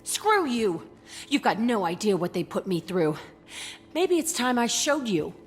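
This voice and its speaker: A woman's voice: medium pitch, very animated, a little slow. A woman voicing an angry, scarred young ex-prisoner in a video game, blunt, profane and combative. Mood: hostile. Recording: music behind the voice.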